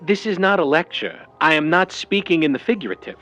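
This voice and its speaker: Man playing slick old Hollywood agent, slangy patter, higher male pitch, very animated swings, radio drama. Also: a little fast, soft background music. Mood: grave, uneasy. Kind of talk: vintage radio drama acting.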